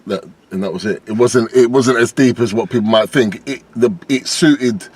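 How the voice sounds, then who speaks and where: A British man with a deep voice and a lisp, a rapper chatting loosely as an interview guest, with lots of fillers and restarts. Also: normal speed, husky. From United Kingdom. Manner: relaxed, rambling, many fillers and restarts. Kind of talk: casual interview chat.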